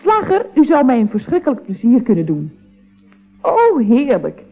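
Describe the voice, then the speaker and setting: Chatty, cheeky secretary, swooping pitch. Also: female voice, huge pitch swings, normal speed, full character voice. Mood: mock-polite, wry. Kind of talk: Dutch radio comedy acting. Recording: dull vintage radio recording.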